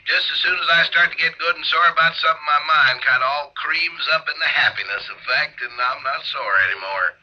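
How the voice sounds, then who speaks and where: An American actor playing a disgruntled small-town police chief in a 1950s radio drama, speaking in a folksy, wry way. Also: male voice, a little high, fairly quick, hardly any pauses, dull audio, close to an everyday voice. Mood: grumbling. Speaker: actor narrating in character. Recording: band-limited old 1950s radio recording.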